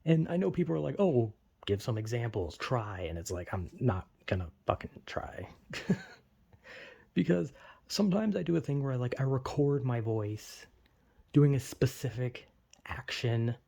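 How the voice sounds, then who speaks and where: A man's voice: medium pitch, lively intonation, moderate pace, vocal fry, dark tone, husky. A young man vlogging in a rambling, self-deprecating way, full of "like" fillers, with a slight lisp. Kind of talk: casual rambling monologue.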